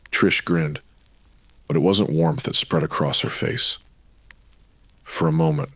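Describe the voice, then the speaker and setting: An actor playing the brooding narrator of a pulp noir audio drama, terse, dramatic and ominous. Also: male voice, a bass voice, no room sound.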